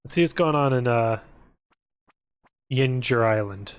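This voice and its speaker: A man's voice: medium pitch, unhurried, clean tone. A man giving casual, rambling gaming stream commentary, thinking aloud and joking as he plays. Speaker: video game livestreamer.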